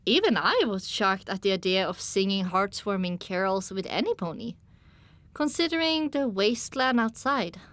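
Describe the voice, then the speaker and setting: A woman's voice: mid-range voice, animated, moderate pace, a bit bright. A woman reading scripted first-person story narration as an audiobook narrator.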